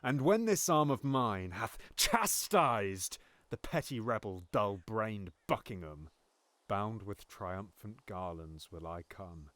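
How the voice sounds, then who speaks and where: An actor playing a cold, manipulative usurper king in a Shakespearean audio drama, wheedling and swearing oaths, at times dropping to a hushed whisper. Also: on the low side, expressive, slow pace, no room sound. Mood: persuasive. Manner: pleading then bitterly contemptuous, with sudden hushed whispers.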